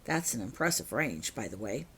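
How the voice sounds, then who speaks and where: A woman voicing a brisk, kindly, matter-of-fact healer at a school in a storybook reading. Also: a bass voice, very animated, fairly bright tone, slightly rough, voice pushed down, full character voice. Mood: brisk, caring.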